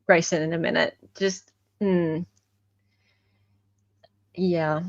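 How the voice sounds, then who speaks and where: A woman's voice: fairly low pitch, fairly even intonation, slow pace, dry recording, lo-fi sound. A woman hosting true-crime commentary in a casual, chatty and wry way. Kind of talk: casual chatty commentary on court evidence videos.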